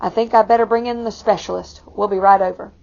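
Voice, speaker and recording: A puzzled storybook family doctor, a formal man, as a woman reader plays him, with gravelly fry. He pronounces things matter-of-factly. The recording sounds lo-fi. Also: medium pitch, steady tone, quick pace, darkish tone, lightly acted. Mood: mumbling. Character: puzzled, matter-of-fact male family doctor in a picture book.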